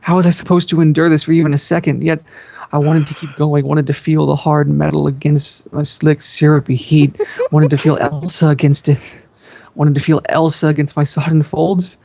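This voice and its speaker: A man reads fan fiction aloud in an amateur dramatic style. His voice is fairly high for a male and gravelly, with little melodic movement. The recording is dull and roomy. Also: level tone, moderate pace, dark tone. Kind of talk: amateur dramatic story reading.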